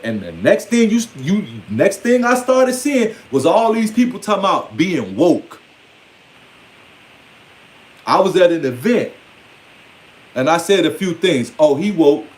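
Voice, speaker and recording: An American man in his forties giving an informal, rambling monologue with emphatic, streetwise delivery and casual swearing. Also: on the high side. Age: 40s. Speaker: online speaker. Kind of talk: informal spoken monologue to followers.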